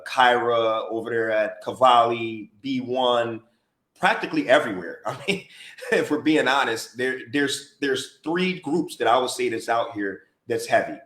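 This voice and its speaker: A man's voice: mid-range voice, a bit bright. An American man co-hosting a casual podcast chat, talking emphatically and repeating himself for emphasis. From United States. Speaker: podcast co-host and DJ. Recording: roomy echoey podcast audio.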